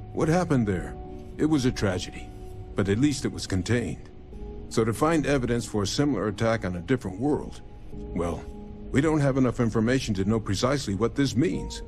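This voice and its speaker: An actor playing a weary, wry older male scientist in a video game, halting and wary as he talks. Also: medium pitch, close to an everyday voice. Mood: grave, wary. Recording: loud music under the voice.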